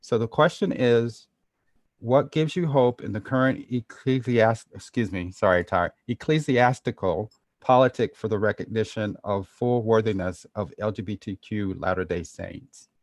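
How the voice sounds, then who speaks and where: A man's voice: lowish voice, moderate pace, dry recording. A man moderating a roundtable discussion, polite and informal, with hesitant phrasing.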